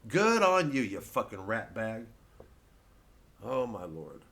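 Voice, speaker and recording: A man's voice: lowish voice, wildly swooping pitch, slow pace, noisy background. A man speaks as a satirical comedian in a loose, rambling rant. His delivery is sardonic, theatrical and profane, and he often breaks off into digressions.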